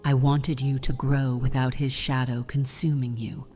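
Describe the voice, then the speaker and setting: A very low, dark-toned vampire mother voiced by a woman speaks gravely and formally, often close to a whisper. She is a solemn figure from a fantasy game roleplay, with music around her measured words. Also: flat delivery. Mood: grave confiding. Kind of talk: scripted fantasy roleplay dialogue.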